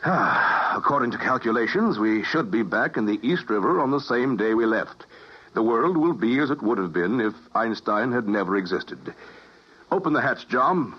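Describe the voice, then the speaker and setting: An actor playing a male time-machine pilot who gives brisk commands in a 1950s radio drama. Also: mid-range voice, lively intonation, slightly rough. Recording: dull vintage radio broadcast.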